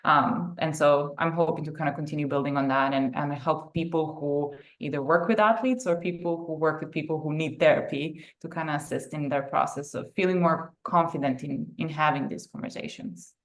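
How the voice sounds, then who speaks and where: Low-voiced young woman. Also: steady tone, brisk, hardly any pauses. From Serbia. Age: young adult. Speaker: guest, therapist and sports psychology consultant. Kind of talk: conversational interview answers. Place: video call.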